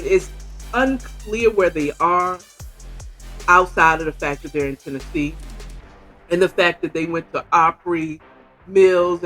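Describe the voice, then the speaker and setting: Slow-talking woman with an American accent, storytelling. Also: on the low side, a bit bright. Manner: conversational, acts out dialogue, asks rhetorical questions. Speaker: podcast host reviewing a book. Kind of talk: casual storytelling book commentary.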